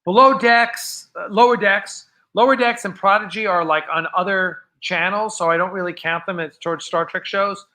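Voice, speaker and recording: An American man hosting a casual live fan show, chatting off the cuff in a rambling, halting way that often trails off. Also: high voice, steady tone, bright, forceful tone. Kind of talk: casual unscripted livestream chat.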